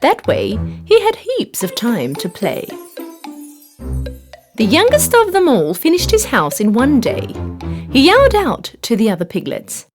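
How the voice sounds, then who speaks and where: Woman, children's story narration, higher-pitched, expressive delivery, clear backing music. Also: unhurried.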